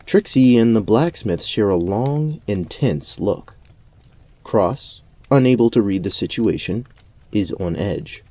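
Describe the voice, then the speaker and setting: Guy narrator, fairly deep warm voice, measured fantasy storytelling. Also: lively intonation, no echo. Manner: measured, descriptive.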